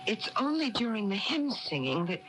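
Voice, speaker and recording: A woman's voice: medium pitch, very animated, normal speed, lightly acted, rough recording. An actress playing a troubled, hesitant churchgoing woman in a radio drama, speaking in careful questions. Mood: hesitant, puzzled. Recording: radio broadcast with music behind.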